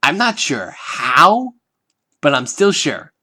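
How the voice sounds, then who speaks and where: A man's voice: on the high side, very animated, takes it slowly, bright, forceful tone, heavily acted. A man voicing an endlessly cheerful, hyperactive teenage girl in a fan-made audio reading, with a raised, bouncy voice.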